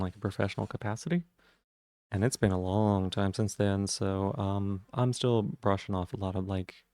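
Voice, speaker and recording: A man with a rough, mellow voice talks casually with his live chat, greeting regulars by name with bright upward lifts. The sound is dry and close, with no room around it. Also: dry recording. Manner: friendly, greets chat members by name, jumps up in pitch on greetings. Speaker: streamer.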